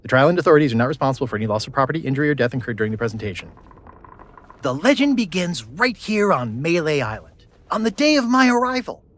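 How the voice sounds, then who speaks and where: A man's voice: a little high, big pitch swings, fast, fairly bright tone. A male actor playing a vain, boyish, self-important pirate hero with theatrical delivery in a video game. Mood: grandly boastful. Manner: pompous, theatrical. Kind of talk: video game cutscene acting.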